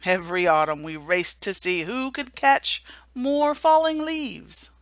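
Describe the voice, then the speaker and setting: A woman's voice: mid-range voice, fairly even intonation, unhurried, no echo, natural voice. A woman voicing a fond old owl. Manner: warm, reminiscing. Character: fond old male owl, wise friend.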